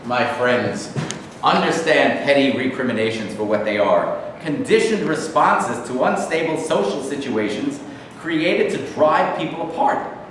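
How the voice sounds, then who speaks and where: A man's voice: mid-range voice, expressive, measured pace, fairly bright tone. A man playing a fervent socialist agitator in a staged reading, declaiming in slogans like an orator. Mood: rousing. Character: fervent male socialist agitator rallying the working class. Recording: echoey hall, distant mic, faint noise.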